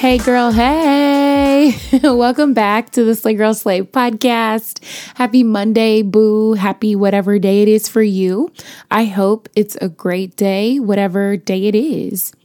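A young adult woman hosting her podcast, chatty and playful, her voice raspy as it recovers from being lost, with features. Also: medium pitch, steady tone, slow pace, warm tone, dry recording, studio quality. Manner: chatty, playful, sprinkles in laughs and slang. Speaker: podcast host. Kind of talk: casual motivational podcast talk.

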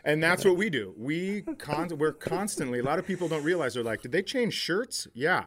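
A man's voice: a little high, lively intonation, moderate pace, a bit bright. A man hosting a comedy podcast, bantering casually with a teasing, sarcastic and profane manner.

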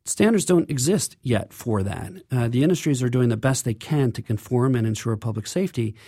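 A man's voice: medium pitch, expressive, fairly quick, warm tone, slightly rough, no room sound, studio quality. A man speaking as an engineer guest in an interview, explaining things in an earnest way.

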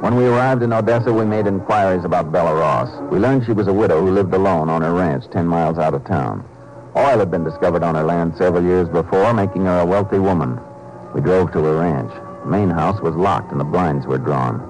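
An actor playing a polite, determined Texas Ranger with an American accent, speaking in measured, laconic 1950s radio drama style. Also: male voice, low-pitched, a little mellow, faint music behind, close to an everyday voice, low-quality recording. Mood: narrating, matter-of-fact. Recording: old 1950s radio broadcast.

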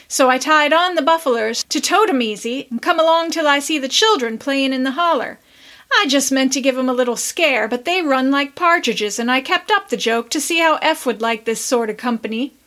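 A woman's voice: high voice, a bit bright. A woman doing a joking young country giant. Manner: rustic country drawl, joking. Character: teasing young farm giant, man.

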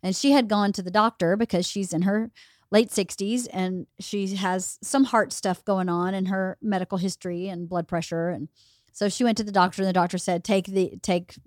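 A woman hosts a podcast and chats casually, rambling from one point to the next. Her voice is slightly hoarse, as if she is losing it, and it sits at an ordinary middle pitch. She is recorded close on a podcast mic with a dry, dead sound. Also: steady tone, moderate pace, a little mellow, no echo. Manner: chatty, rambling storyteller. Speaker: podcast host. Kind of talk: casual chat.

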